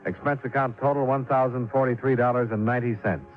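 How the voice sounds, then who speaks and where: An actor playing a wry, tough American insurance investigator in 1950s radio drama, narrating in a dry, clipped, sardonic way. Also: male voice, medium pitch, fairly even intonation, fast talker, soft background music, close to an everyday voice. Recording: muffled old radio recording.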